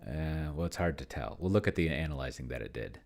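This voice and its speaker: Very deep-voiced man thinking aloud. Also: animated, fast, gravelly, darkish tone. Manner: musing, talking to himself, trailing off. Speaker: solo tabletop game player and demonstrator. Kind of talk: casual think-aloud game commentary.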